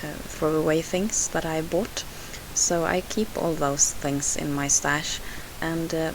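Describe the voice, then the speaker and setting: A woman's voice: sits low, steady tone, slow. A Swedish woman with a Swedish accent, narrating a scrapbooking process in a hesitant voice-over full of "uh" fillers and restarts. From Sweden. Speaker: scrapbooking video host. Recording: hissy home voice-over.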